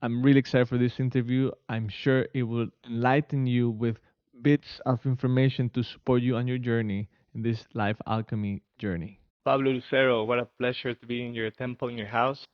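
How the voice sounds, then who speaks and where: A man's voice: medium pitch, fairly even intonation, brisk, no echo, highs cut off. A man hosting an interview podcast, talking earnestly and with enthusiasm, often murmuring agreement while his guest speaks. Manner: earnest, enthusiastic, says hmm a lot. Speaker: podcast host. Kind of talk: casual interview. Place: home in Costa Rica.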